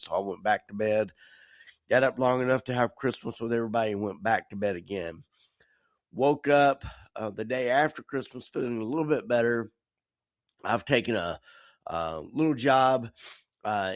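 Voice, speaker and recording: Low, croupy middle-aged American man. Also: no room sound, studio quality. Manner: halting, meandering. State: croupy, recovering from a cold. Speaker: podcast host, chaplain. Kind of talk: casual personal podcast monologue.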